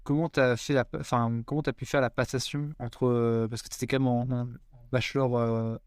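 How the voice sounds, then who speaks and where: A man hosts a podcast interview, asking questions in a relaxed, friendly way with a few fillers. His voice is mid-pitched and the sound is dry. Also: normal speed, clean tone, no room sound. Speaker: podcast host.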